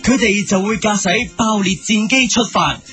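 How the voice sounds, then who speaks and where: A man's voice: high-pitched, normal speed, lightly acted. A man playing an earnest narrator of a superhero cartoon, delivering a scripted dramatic introduction. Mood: earnest, heroic. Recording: music mixed under the voice.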